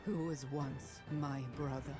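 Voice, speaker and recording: A grim, sorrowful female dragon speaks in a low voice. Each phrase is slow and heavy, in fantasy game style over strong music. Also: fairly even intonation. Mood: grim resolve. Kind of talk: fantasy video game voice acting. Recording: game audio under loud music.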